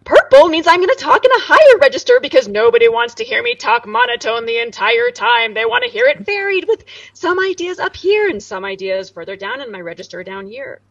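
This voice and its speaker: A woman in her forties speaking in an energetic, emphatic way as an interview guest over a video call. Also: a little fast, no echo, far from the mic, highs cut off. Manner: animated, emphatic, swoops high and low. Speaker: guest, corporate director. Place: home room, indoors. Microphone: studio mic on boom arm, video call.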